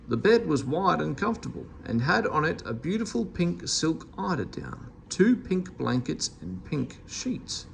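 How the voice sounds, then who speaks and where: A man's voice: medium pitch, creaky, slight background noise. A man reading a children's story aloud as narrator. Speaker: storybook reader.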